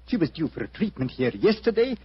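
An actor playing an obliging, fussy, hesitant doctor in a 1940s radio drama. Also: male voice, high-pitched, big pitch swings, fairly quick, slight background noise. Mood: helpful, musing. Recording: muffled old radio recording.

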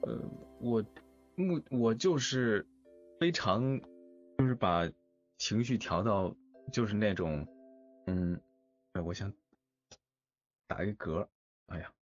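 A man who voice-acts in audio dramas, chatting casually in an interview in a hesitant, thoughtful, halting way. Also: on the low side, animated. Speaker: amateur audio drama voice actor being interviewed. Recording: dull lo-fi voice chat with music. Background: music.

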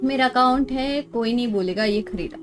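A woman's voice: on the high side, rapid-fire. An Indian woman chatting casually on a gaming livestream, switching between Hindi and English. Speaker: game streamer. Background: game music.

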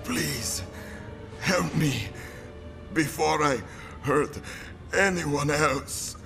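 An actor voicing a tormented male scientist turned vampire in a video game, halting, pained and pleading. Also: a little high, creaky, music in the background, heavily acted. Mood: desperate. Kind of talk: video game cutscene acting.

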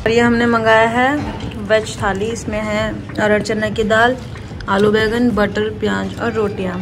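A woman's voice: medium pitch, little pitch movement, unhurried, noisy background. A young woman from the hills, chatting casually as a travel vlogger and teasing her companions. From Uttarakhand hills. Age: young adult. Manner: chatty, teasing, recommends places to viewers. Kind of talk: casual travel vlog chat. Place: outdoors in hills and a roadside eatery. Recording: music playing under voice.

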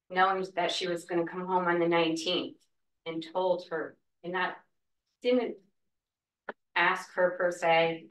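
A woman's voice: on the low side, a bit bright, recorded from a distance. Woman pleading from a podium, echoey room. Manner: earnest, emotional plea. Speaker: school cafeteria worker speaking at public comment. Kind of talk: public comment at a school board meeting. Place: meeting room, at a wooden podium. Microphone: podium mic, heard from a far camera. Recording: echoey room, voice heard from afar.

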